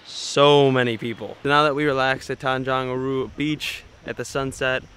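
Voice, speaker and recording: A man's voice: medium pitch, level tone, moderate pace, clear, resonant tone. A young man vlogging to a handheld camera at an outdoor night market, chatty and upbeat. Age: young adult. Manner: upbeat, chatty, talks to camera and haggles with vendors. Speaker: travel vlogger. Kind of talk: casual travel vlog narration. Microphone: camera held at arm's length. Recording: loud crowd and street noise behind.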